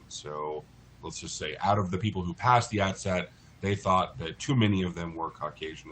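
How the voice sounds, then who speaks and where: Male, American, low voice. Articulate expert guest in an interview, explanatory and measured, with background noise. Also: pushed and bright, low-quality recording. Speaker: interview guest, lawyer in a class action.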